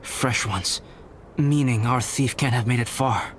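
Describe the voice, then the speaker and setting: A male actor playing a calm, reserved young alchemist in a video game, speaking in a measured, formal, analytical way. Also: medium pitch, slight background noise, natural voice. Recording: background music under the voice.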